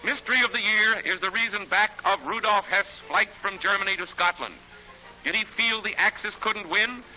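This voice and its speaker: A man's voice: high voice, moderate pace, low-quality recording. An American man narrating a 1940s newsreel in a dramatic, declamatory manner. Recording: 1940s newsreel soundtrack with loud music.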